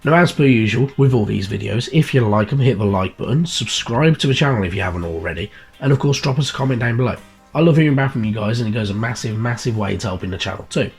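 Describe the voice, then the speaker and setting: A man hosting a miniature painting channel, croaky and bunged up with a heavy cold, chatting casually with lots of fillers and restarts. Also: medium pitch, lively intonation, quick pace. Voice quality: husky. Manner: rambling, many fillers and restarts. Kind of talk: casual video chat about a magazine issue. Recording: background guitar music, cheap mic.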